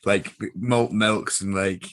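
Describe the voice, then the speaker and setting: A man's voice: lowish voice, steady tone, slow. A young man chatting casually in a jokey, rambling way. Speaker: voice actor, podcast guest. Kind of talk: casual chat.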